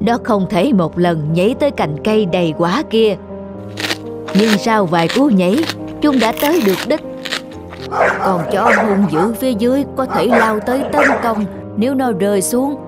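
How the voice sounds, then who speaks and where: A woman voicing a gentle, wise old woman in a cartoon moral tale, speaking in a measured, instructive way. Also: mid-range voice, expressive, over loud music, close to an everyday voice. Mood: calm, admiring.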